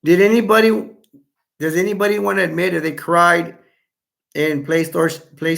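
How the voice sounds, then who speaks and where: A man hosting a casual live stream, chatting loosely with viewers and reading their comments aloud, his voice starting to go hoarse. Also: sits high, steady tone, normal speed, a bit bright. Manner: rambling, self-correcting, chatty. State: voice going hoarse.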